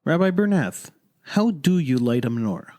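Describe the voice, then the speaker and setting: A man's voice: on the high side, big pitch swings, moderate pace, warm tone, dry recording. A man hosting a radio program, addressing his guest and listeners. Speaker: radio host.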